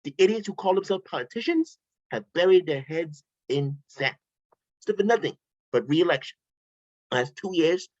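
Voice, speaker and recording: Man reading a lively eccentric billionaire. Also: fairly high pitch. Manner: animated, rambling, sarcastic. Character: eccentric tech billionaire, restless, self-assured. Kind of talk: table read of a screenplay. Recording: dull lo-fi home mic.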